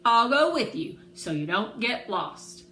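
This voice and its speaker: A woman's voice: a little high, very animated, a little slow, pushed and bright, voice pushed up, exaggerated voice. A woman voicing a tough, proud, easygoing grown woman with a folksy, laid-back manner in a storybook reading. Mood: decisive. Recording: roomy echo, faint background noise.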